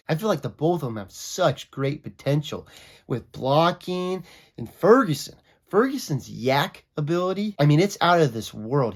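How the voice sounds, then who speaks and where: A young man with a slightly raspy voice from a cold, giving opinionated, conversational football commentary as a regular video host. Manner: opinionated, conversational, animated pitch swings. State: sick, raspy voice. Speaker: sports vlogger. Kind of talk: casual sports commentary.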